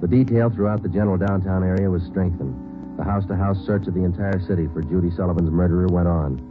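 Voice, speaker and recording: An actor playing a terse police sergeant who narrates the case in a flat, matter-of-fact way, in an old radio drama. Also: male voice, low-pitched, fast talker, lightly acted. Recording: tinny old radio broadcast with music.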